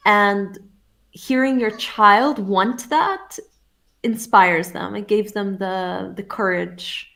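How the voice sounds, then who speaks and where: A woman from England talking as an interview guest, reflective and soft-spoken, with frequent laughs. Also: mid-range voice, slow, gravelly. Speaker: interview guest, shamanic teacher. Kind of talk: casual interview conversation.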